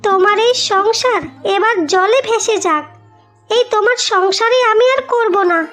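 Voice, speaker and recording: A vain young new wife, played by a woman in a cartoon melodrama, with an extremely high voice. She is haughty and quarrelsome, scolding indignantly over background music. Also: normal speed, fairly bright tone. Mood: outraged.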